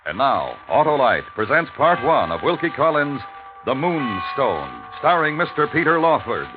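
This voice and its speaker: Animated radio announcer over loud music. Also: male voice, medium pitch, normal speed. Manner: dramatic, emphatic. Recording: tinny old radio recording.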